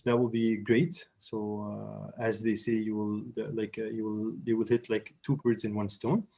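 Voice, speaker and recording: A man's voice: on the low side, flat delivery, moderate pace, gravelly, mellow. A young man from Syria, a surgery resident, answering interview questions in a hesitant, casual way, with many fillers and restarts.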